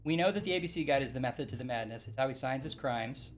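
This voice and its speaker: A man's voice: mid-range voice, animated, quick pace, faint music behind. A young man casually playing a mystery game, thinking aloud and hesitantly reasoning through clues. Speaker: game streamer. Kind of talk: casual let's-play chat.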